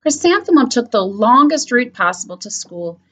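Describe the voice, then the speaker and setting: Woman teacher, storybook read-aloud, lively rise and fall, slightly high. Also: moderate pace, slightly rough. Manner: expressive, animated storytelling. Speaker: elementary school teacher. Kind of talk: reading a picture book aloud.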